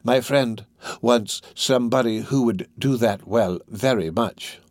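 Guy doing a sly old crook from a storybook, slow and wheedling, drags his words out. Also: mid-range voice, a little mellow, no room sound, clean studio sound, close to an everyday voice. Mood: sly, coaxing. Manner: slow, drawn-out, ingratiating. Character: sly, wheedling older male criminal fence.

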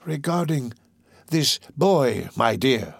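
A man acts out a crafty old villain from a classic storybook. The voice croaks and drags its words out slowly and oilily, in a close, dry recording. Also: medium pitch, sing-song, no room sound, exaggerated voice. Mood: wheedling. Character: crafty old male criminal, wheedling, then furious.

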